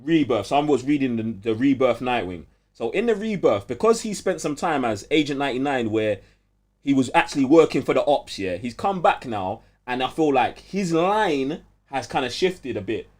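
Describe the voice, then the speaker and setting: A man's voice: mid-range voice, normal speed. Young London man, casual and emphatic. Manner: animated, emphatic storytelling. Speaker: podcast co-host. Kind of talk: casual chat with heavy street slang.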